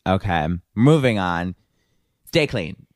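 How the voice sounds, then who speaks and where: A man with a fairly low voice runs a comedy podcast and reads out listener questions. He teases his co-hosts with crude, mock-exasperated jabs. The sound is dry and close to the mic. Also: sing-song, slow, no echo. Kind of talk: casual comedic banter.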